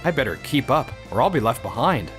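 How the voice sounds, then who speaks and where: A man plays a modest young office guy from a manga dub. He is sincere and a little awkward, and his pitch moves around freely. Faint music sits behind him. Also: big pitch swings, brisk, fairly bright tone. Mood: moved, bashful. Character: earnest, modest young office worker, newly engaged. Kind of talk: manga dub narration and dialogue.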